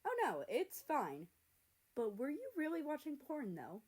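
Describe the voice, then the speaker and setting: A woman's voice: high-pitched, wildly swooping pitch, unhurried, a little mellow, voice pushed up, full character voice. A woman voicing a cheeky teenage younger brother in a read-aloud story, nosy, mocking and playful. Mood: amused.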